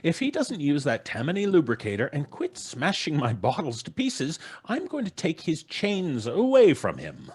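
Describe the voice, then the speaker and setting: A man's voice: a little high, wildly swooping pitch, normal speed, few pauses, a little mellow, husky, heavily acted. A middle-aged man playing a blustery, pompous, unflappable father who dismisses ghosts in an old-time radio drama. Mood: amused, unruffled. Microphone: studio microphone on a video call.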